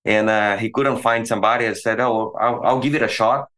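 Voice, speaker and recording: A man in his forties from Brazil, speaking with a Brazilian Portuguese accent as a veterinary professor guest on an interview, talking in a halting way with many restarts and repeated words. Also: lowish voice, fairly even intonation, fairly bright tone. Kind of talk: conversational interview.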